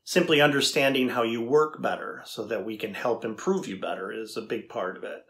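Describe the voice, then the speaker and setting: A middle-aged man playing a thoughtful AI researcher in a dramatized interview, deliberate and conversational as he probes. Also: mid-range voice, fairly bright tone, lightly acted. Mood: explanatory, thoughtful. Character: thoughtful male AI researcher questioning a machine. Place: indoor room with backdrop, seated.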